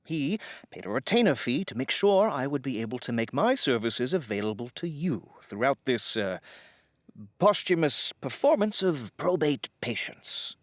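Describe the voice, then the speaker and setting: Courteous, florid lawyer, dry recording. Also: male voice, medium pitch, sing-song, no echo. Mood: earnest, solemn. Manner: formal, halting, florid. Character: courteous, earnest male estate lawyer, loyal and wordy. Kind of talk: audio drama acting.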